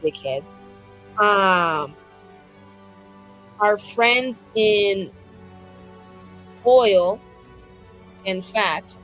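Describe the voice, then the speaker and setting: A woman's voice: mid-range voice, very slow, long pauses, a bit bright. Flippant, rambling woman with music behind. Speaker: tabletop roleplaying player. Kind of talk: casual in-character roleplay chat. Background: background music.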